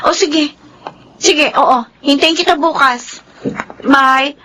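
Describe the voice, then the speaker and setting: An actress playing a bossy, sharp-tongued young working older sister in a Filipino radio drama. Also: female voice, high voice, takes it slowly, exaggerated voice. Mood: worried. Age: young adult.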